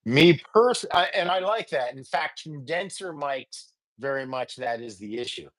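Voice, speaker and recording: Slow, deep-voiced rambling male host. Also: expressive, a bit bright. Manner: rambling, halting, full of fillers. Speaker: podcast host. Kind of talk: casual gear tutorial.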